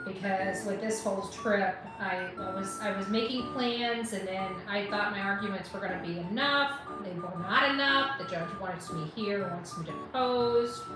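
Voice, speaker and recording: A woman's voice: medium pitch, far from the mic. A woman hosting a casual livestream, rambling informally with exasperated asides. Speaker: livestream host. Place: indoors, hotel. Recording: very echoey room, away from mic, music behind.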